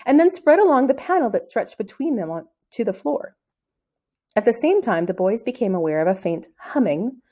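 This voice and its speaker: Animated woman reading a story aloud. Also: mid-range voice. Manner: animated, acting out the dialogue. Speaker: reader of a children's book. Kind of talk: expressive read-aloud storytelling.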